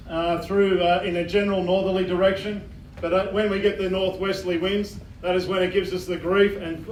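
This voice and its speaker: An Australian man with a fairly high voice briefs a crowd as incident controller, loud and plain-spoken, pausing often on "uh". He is miked in a roomy hall and heard from some distance. A faint hum of ambience sits behind him. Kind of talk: public briefing at a community meeting. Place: bowling club function hall. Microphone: microphone addressing a room.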